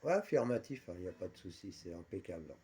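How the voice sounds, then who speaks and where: A man's voice: low voice, sing-song, fast talker, very noisy. A man chatting casually as a radio operator, repeating his confirmations and using radio jargon. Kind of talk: casual radio contact chat.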